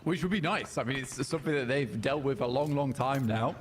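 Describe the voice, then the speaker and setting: A man's voice: mid-range voice, fairly quick, a little hiss or hum. A man giving analytical color commentary on a live esports match, explaining team form and map positions.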